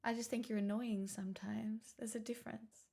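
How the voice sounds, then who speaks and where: A woman's voice: a little high, little pitch movement, moderate pace, vocal fry, mellow, husky. Playful, teasing young woman streamer. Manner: playful, teasing, self-mocking. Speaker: livestreamer. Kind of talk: casual stream chat with viewers.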